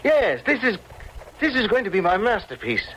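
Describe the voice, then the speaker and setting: An actor playing an imperious young painter, obsessively lovesick, abrupt and commanding, in old radio drama style. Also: male voice, deep voice, sing-song, moderate pace, bright, forceful tone, husky, exaggerated voice, low-quality recording. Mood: agitated, elated.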